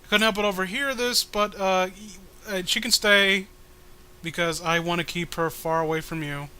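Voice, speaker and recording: A man's voice: high voice, fairly even intonation, moderate pace, pushed and bright, clean tone. A man co-hosting a comic reading, riffing casually in a sardonic, profane way.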